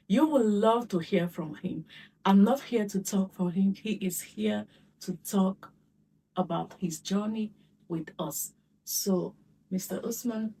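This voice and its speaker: A woman's voice: mid-range voice, dark tone, recorded from a distance, a little hiss or hum, low-quality recording. A woman hosting an interview, introducing her guest formally and keeping things moving with short prompts. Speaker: host.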